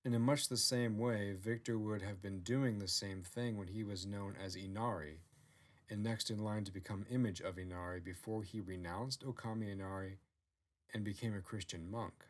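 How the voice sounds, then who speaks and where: A man with a lowish voice delivers scripted narration. He reads as an author presenting his own written work. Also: steady tone, moderate pace.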